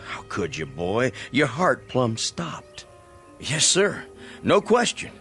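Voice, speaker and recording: An actor playing a flustered, self-important older country doctor in a radio drama, hesitant and repetitive, with a rural American accent. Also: male voice, mid-range voice, huge pitch swings, takes it slowly, a bit bright, soft background music, heavily acted. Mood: defensive. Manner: hesitant, repeating himself.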